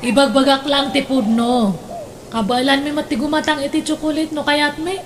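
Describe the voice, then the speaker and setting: Casting: actress for resentful, gossip-prone sister in Ilocano radio drama; high female voice, Ilocano-accented. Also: little pitch movement, normal speed, background noise, close to an everyday voice. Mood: scornful. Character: gossipy, resentful sister, scornful of her sibling.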